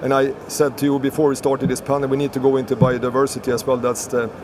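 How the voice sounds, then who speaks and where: A man from Sweden speaking as a panelist on an online panel, earnest and halting, often repeating words. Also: medium pitch, completely flat delivery, background noise.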